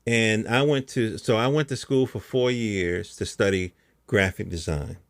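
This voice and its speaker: A man's voice: on the low side, unhurried, dry recording, clean studio sound. A middle-aged American man hosting a podcast, talking casually in a rambling, colloquial monologue and often checking in with the listener. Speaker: podcast host.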